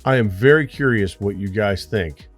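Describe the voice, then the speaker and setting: An American man with a low-pitched voice speaks as a flight instructor hosting his own channel. He tells his story conversationally and earnestly, addressing viewers directly. Faint music sits behind his voice. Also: moderate pace.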